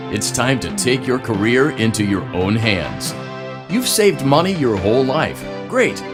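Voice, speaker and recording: Male actor as slick franchise-video announcer for a game. Upbeat, salesy, very animated mid-range delivery over music. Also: mid-range voice, natural voice. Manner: peppy, salesy, smooth-talking. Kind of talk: parody corporate training and sales narration.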